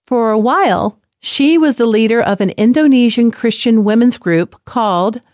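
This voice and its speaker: A woman narrating a story in a gentle, clear, measured reading style. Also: a little high, dry recording. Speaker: presenter.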